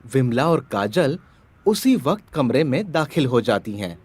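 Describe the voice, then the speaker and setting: A man's voice: mid-range voice, big pitch swings, unhurried, darkish tone, slightly rough. A man narrating a scripted story as the storyteller.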